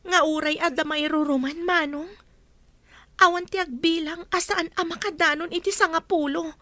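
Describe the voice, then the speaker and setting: Young captive heroine for radio drama, played by a woman: very high, bright voice, earnest and polite, dry recording. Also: fairly even intonation, normal speed, no echo. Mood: pleading, defiant. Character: young captive heiress Eva, pleading, hopeful, dutiful niece. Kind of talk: Ilocano audio drama acting.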